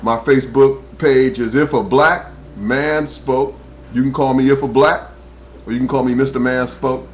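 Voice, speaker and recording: A man's voice: mid-range voice, fairly even intonation, moderate pace, slight background noise. A man from New Jersey, a talk radio host, speaking an earnest, preacher-like webcam monologue that rises as he tells his own story. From New Jersey, USA. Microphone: webcam microphone.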